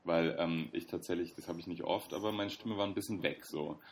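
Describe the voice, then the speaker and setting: Young male podcast co-host, very low voice, hesitant and wry, casual chat. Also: brisk. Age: young adult. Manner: hesitant, many fillers and restarts, wry.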